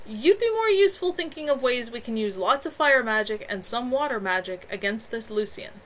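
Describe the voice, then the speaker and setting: A woman's voice: a little high, animated, moderate pace, fairly bright tone, voice pushed up. A woman reading a strong-willed young woman's lines, firm and dismissive.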